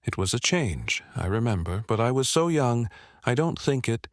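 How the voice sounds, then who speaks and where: A man's voice: medium pitch, big pitch swings, moderate pace, no room sound, clean studio sound. A man in his fifties from Vietnam, whose first language is French, giving an explanatory oral history interview peppered with "right?" check-ins. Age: 50s. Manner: explanatory, often checks with "right?" and "you know".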